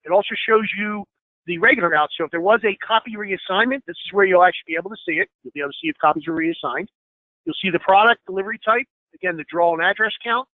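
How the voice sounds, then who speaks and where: A man gives a screen-share software training, explaining each step with plenty of fillers and a slightly hoarse voice. His voice is fairly high for a man and he talks quickly. The sound is thin and tinny, like a phone line, and very dry. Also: no echo. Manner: explanatory, many fillers, asks for questions. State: slightly hoarse, clearing throat.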